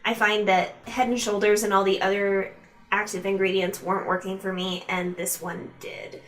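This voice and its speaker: A woman's voice: mid-range voice, flat delivery, moderate pace, distant mic, low-quality recording. A woman chatting informally to her viewers as a beauty vlogger, reviewing products in a casual, direct way. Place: room at home used for filming.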